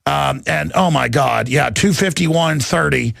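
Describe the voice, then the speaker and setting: A man with a heavily gravelled, somewhat high-set voice hosts talk radio close on a studio mic. He rants off the cuff, agitated and emphatic, rambling and repeating himself. The sound is dry. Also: a little slow, fairly bright tone, no room sound. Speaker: radio show host. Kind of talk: impassioned unscripted talk radio rant. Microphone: close studio broadcast microphone.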